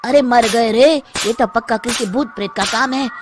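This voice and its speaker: Woman acting an agitated, high-voiced village man. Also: moderate pace, over music, lightly acted. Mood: alarmed. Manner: agitated, exclamatory. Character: alarmed, gossiping village men afraid of a ghost. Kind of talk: Hindi children's cartoon story acting.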